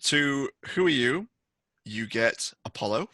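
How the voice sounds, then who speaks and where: A man's voice: medium pitch, animated, takes it slowly, bright, forceful tone, no echo. A man narrating a tabletop roleplaying game as game master, setting out information and scenes for the players.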